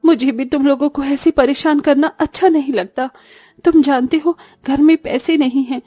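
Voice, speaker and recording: Woman as a soft, weary eldest sister. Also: sits high, no room sound, lightly acted. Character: burdened, caring orphaned eldest sister, young woman. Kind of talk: Hindi cartoon story voice acting.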